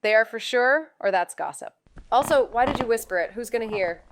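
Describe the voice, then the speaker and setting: An actress playing a sarcastic, self-deprecating, sweary woman sleuth in a naturalistic audio drama, with casual deadpan delivery. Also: fairly high pitch, brisk, background noise. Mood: sarcastic, nosy.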